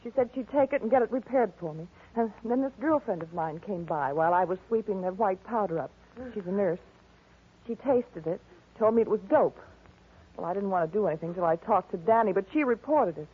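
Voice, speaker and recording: A woman's voice: medium pitch, lively intonation, fairly quick, slightly rough. An actress with an American accent playing a distressed young woman, earnest and pleading, in 1940s radio drama style. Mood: earnest, explaining. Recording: muffled, tinny old radio recording.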